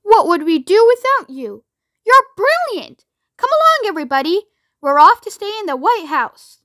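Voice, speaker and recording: A woman voicing a brisk, exuberant eccentric man in a children's storybook, emphatic and exclamatory. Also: high voice, expressive. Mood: delighted. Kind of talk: children's storybook read aloud.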